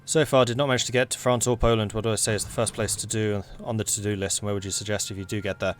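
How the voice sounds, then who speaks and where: A man's voice: on the low side, very fast, soft background music. A young man with an English accent, casually chatting with viewers and reading game events aloud as he plays. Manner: relaxed, rambling, reads game events aloud. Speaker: streamer.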